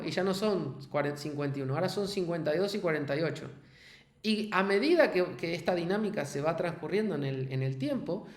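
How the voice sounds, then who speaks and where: Host, man, Argentine accent, hesitant, thinks aloud with fillers, a bit high-pitched, echoey room. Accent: Argentine Spanish. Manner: hesitant, restarts and fillers while reasoning.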